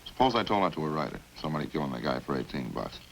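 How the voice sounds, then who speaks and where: A very deep, gravelly, rambling killer. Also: male voice, very animated, very fast, exaggerated voice, low-quality recording. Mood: matter-of-fact. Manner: casual, rambling, folksy, matter-of-fact. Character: chatty, hungry male killer calmly confessing over supper. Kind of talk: 1950s radio crime drama acting. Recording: hissy old-time radio recording.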